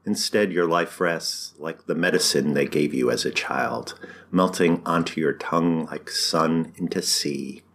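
A man with an extremely low, deep voice reads poetry aloud. He speaks as a poet presenting his own work, moving between introduction and verse. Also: measured pace, vocal fry, fairly bright tone, a little hiss or hum. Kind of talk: poetry reading with spoken introduction.

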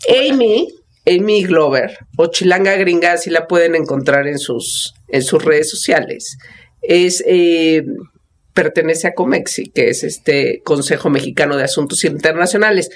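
A woman with a low voice hosts a casual podcast conversation. She speaks haltingly and fills her pauses with drawn-out hesitations while she sets up the topic. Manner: hesitant, many fillers. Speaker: podcast host.

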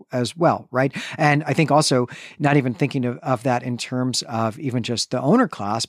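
Man, earnest podcast co-host, conversational, mid pitch, dry close recording. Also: no room sound. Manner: earnest, conversational, often ends points with "right?". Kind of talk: casual literary discussion.

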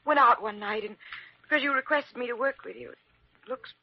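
Young hospital nurse, high and breathy, pleading and hesitant, old-time radio melodrama. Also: female voice, moderate pace, dry recording. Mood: hurt, apologetic. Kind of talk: 1940s radio drama acting. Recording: dull vintage radio recording.

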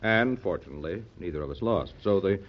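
An actor playing a gallant, gentlemanly American statesman in an old-time radio drama, courteous and formal. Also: low-pitched, animated, fairly quick. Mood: cordial. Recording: dull, lo-fi old radio recording.

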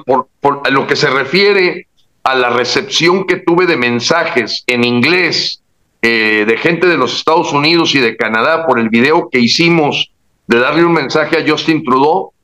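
A man talks politics in an emphatic, indignant way, often stumbling and restarting his sentences. His voice sits a bit high and has a bright, forceful edge. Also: measured pace, low-quality recording. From Mexico. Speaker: guest commentator. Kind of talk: casual political chat.

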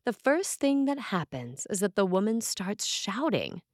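A woman with a medium-pitched voice reads a sermon as a priest would. Her delivery is measured and earnest, leaning dramatically on key phrases. The recording is close and dry, with no room around the voice. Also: big pitch swings, dry recording. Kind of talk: scripted sermon reading.

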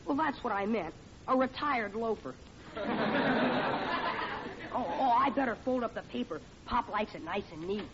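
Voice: medium pitch, measured pace, pauses often, a loud crowd, close to an everyday voice. A performer playing an eager young schoolboy son with boyish slangy talk in an old radio comedy. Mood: cheeky. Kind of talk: 1940s radio comedy acting. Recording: noisy, muffled old radio recording.

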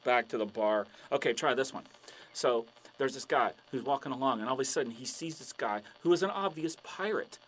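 A man telling long, shaggy-dog jokes with a deadpan delivery in a radio drama. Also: medium pitch, a little fast, natural voice, low-quality recording. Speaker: joke teller.

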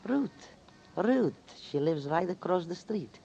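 A chatty old shopkeeper with a gravelly, lively voice that wanders up and down as he talks. The recording is dull and lo-fi with a faint hiss, like a 1940s radio broadcast. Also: sits high, slow pace, soft background music, heavily acted. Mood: friendly. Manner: friendly, rambling, easygoing. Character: kindly old male shopkeeper, chatty and friendly. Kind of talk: 1940s radio drama acting.

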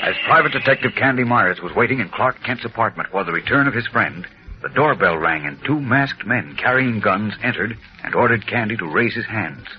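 Dramatic low-voiced radio narrator man. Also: expressive, fairly quick. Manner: dramatic, urgent. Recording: dull lo-fi old radio recording with faint music.

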